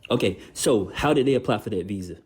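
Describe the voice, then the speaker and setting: Male panelist, husky voice, relentless questioner. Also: on the low side, expressive, fast, darkish tone. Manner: pressing, repeats his point, asks pointed questions. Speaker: guest panelist. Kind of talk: heated debate.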